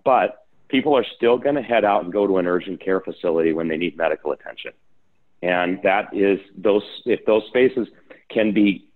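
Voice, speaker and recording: Man, low voice, phone-like video call audio, conversational investor, restarts mid-sentence. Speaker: real estate investor and developer guest. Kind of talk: panel discussion on a video call.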